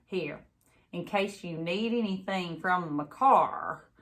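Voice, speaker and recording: A man's voice: sits high, slow, a bit bright, heavily acted. A woman voicing a stubborn, devoted old grandfather in a folksy, insistent way, as in a storybook read aloud. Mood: tender. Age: older.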